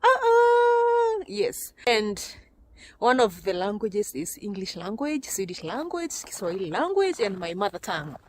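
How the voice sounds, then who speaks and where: A woman's voice: mid-range voice, sing-song, a little slow. A Kenyan woman vlogging on her phone as she walks through a cold forest outdoors, chatty and laughing often. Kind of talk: casual walking vlog. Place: snowy forest outdoors in winter. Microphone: phone camera.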